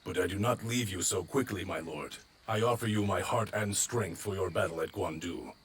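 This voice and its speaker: An actor playing a loyal, honor-bound warrior general in a video game, speaking in a solemn, formal, declamatory way. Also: male voice, low-pitched, normal speed, slight background noise, lightly acted. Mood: solemn, respectful. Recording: loud music over the voice.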